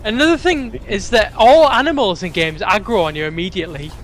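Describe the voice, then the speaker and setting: A man's voice: high voice, brisk, a bit bright. A British man bantering as a game co-commentator, full of wry, jokey asides. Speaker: let's play co-commentator. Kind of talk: casual banter over gameplay. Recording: clear game noise behind voice. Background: video game sound.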